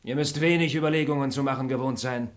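An actor playing a noble, high-minded male traveller who speaks formally and moralizes in a radio drama. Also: fairly even intonation, fast talker, lightly acted. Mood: lofty.

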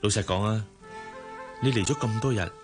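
An actor playing a courteous, hardworking general manager in a radio drama, measured and polite, with a Hong Kong Cantonese accent. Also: male voice, on the low side, over music, lightly acted. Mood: teasing, friendly. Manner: measured, polite, familiar with subordinate.

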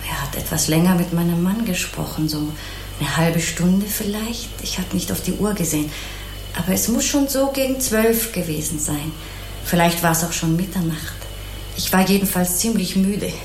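An actress playing a kindly, naive, chatty housewife in an obliging, rambling way in a German crime radio play. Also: female voice, fairly low pitch, normal speed, darkish tone, echoey room, slight background noise, close to an everyday voice, poor audio. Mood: earnest recollection. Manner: obliging, artless, rambling, sympathetic.

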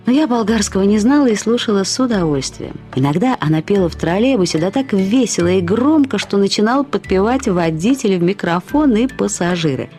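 An actress narrating a short story as a woman fondly and ironically recalling a friend, with expressive, slightly husky delivery. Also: mid-range voice, brisk, few pauses, faint music behind, close to an everyday voice. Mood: reminiscing, wry. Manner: acts out quoted remarks with lively intonation. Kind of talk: expressive literary storytelling, first-person reminiscence.

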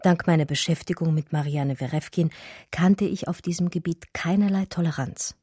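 An actress playing an educated art historian who recounts her love story in a radio drama, measured and eloquent. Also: lowish voice, mellow, slightly rough, no echo, studio quality. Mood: reflective narrating. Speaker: narrator and lead character. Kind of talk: literary radio drama narration.

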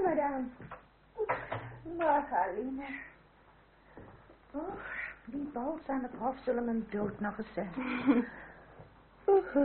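An actress playing a languid, gushing society lady of the French court in a Dutch radio drama, affected and full of sighs. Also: a little high. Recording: tinny old radio recording, faint noise.

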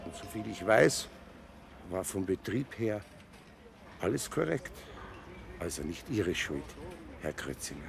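A man's voice: low voice, sing-song, measured pace, vocal fry, long pauses, slight background noise, full character voice. An actor playing a humble, hesitant building foreman in a radio play, deferential and trailing off. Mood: cautious, placating. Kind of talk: naturalistic radio play acting.

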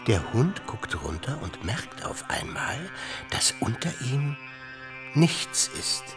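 A man's voice: medium pitch, big pitch swings, slow pace. An actor playing an eccentric, insistent male party guest, probing and theatrical, in a radio play. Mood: knowing, intrigued. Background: music.

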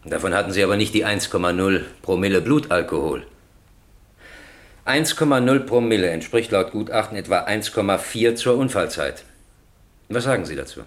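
An actor playing a stern, impatient presiding judge in a radio crime drama, questioning formally and insistently. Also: male voice, sits low, animated, moderate pace. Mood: stern, probing.

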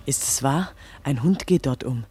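A woman's voice: rumbling low, normal speed, dark tone, husky, no echo, heavily acted. Credulous young village woman, dry sound. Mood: anxious, gossipy. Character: credulous, jealous young village fiancée, anxious and flattering. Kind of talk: German radio play acting.

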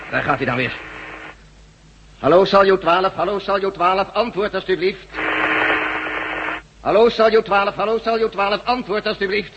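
A man playing an impatient, authoritative general at a radio set in a science-fiction radio drama. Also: sits high. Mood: commanding, then calling, insistent. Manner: authoritative, brisk, giving orders. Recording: muffled, noisy old radio drama recording.

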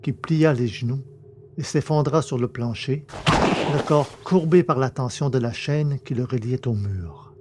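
A man narrating a science fiction adventure in a measured, dramatic storytelling manner. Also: medium pitch, heavy background noise. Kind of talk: scripted audiobook narration.

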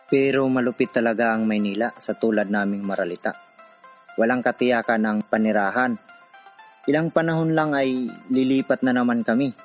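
A man's voice: fairly low pitch, close to an everyday voice. An actor playing a poor man from a city slum, narrating his own hard life in a scripted radio drama.

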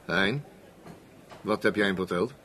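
Low-voiced, terse, sceptical man. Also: measured pace, bright, forceful tone, a little hiss or hum. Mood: probing, sober. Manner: terse, questioning. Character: sober, probing, sceptical workmate of few words, man. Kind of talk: naturalistic Dutch radio play acting.

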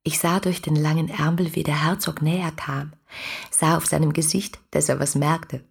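An actress playing a passionate, romantic young woman in a literary radio play, speaking intimately and poetically to her beloved in a husky, breathy voice. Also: low-pitched, steady tone, moderate pace, full character voice. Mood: intimate, playful.

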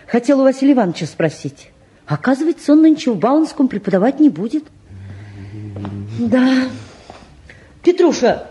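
High-voiced, fretful mother. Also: warm tone. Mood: concerned. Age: middle-aged. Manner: agitated, pleading, repeats herself. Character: anxious, fretful mother and housewife, fussing over her sons. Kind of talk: mid-century Soviet radio-play acting.

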